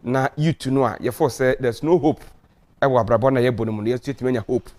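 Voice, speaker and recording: A man's voice: medium pitch, steady tone, brisk, a little mellow. Ghanaian man hosting a talk show. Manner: interjects often with short exclamations. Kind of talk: casual bilingual interview talk.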